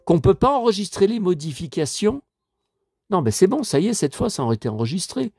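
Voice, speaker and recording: A man's voice: high-pitched, brisk, creaky, breathy edge, no room sound, studio quality. A man in his fifties, a collector vlogging casually, rambling with many fillers as he talks straight to his viewers. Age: 50s. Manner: indignant and animated when riled. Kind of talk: casual screen-share vlog.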